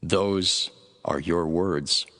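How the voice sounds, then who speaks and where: An actor playing a calm, solemn, authoritative holy teacher in a scripture audio drama, speaking in measured phrases. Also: male voice, low-pitched, no room sound, studio quality, full character voice.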